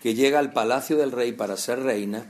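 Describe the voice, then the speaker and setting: A man's voice: medium pitch, fairly quick, darkish tone. A man from preaching a conversational sermon, talking straight to his listeners with rhetorical questions.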